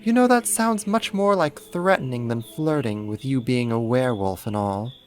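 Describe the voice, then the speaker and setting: A man's voice: mid-range voice, expressive, moderate pace, soft background music, close to an everyday voice. A man playing a slight, possessive, vain vampire in a roleplay audio drama, sarcastic, haughty and fussy. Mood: scornful. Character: puny snarky jealous male vampire, possessive and vain.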